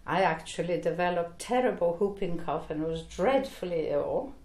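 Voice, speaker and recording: An older woman from Poland, recounting her early life in an oral history interview, with slow, reflective speech and frequent fillers. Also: on the low side, expressive, a little mellow. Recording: echoey room.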